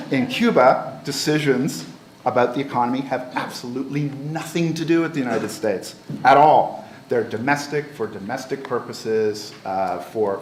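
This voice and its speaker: Formal male panelist in a roomy hall. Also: fairly low pitch. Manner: measured, formal, some repeated words. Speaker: panel speaker. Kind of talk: conference presentation. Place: lecture auditorium. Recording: roomy hall with faint noise.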